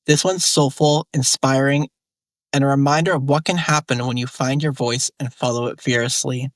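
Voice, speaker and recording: A man's voice: medium pitch, fairly even intonation, moderate pace, no room sound. A male podcast host reading a scripted, upbeat introduction for a guest. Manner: upbeat, promotional.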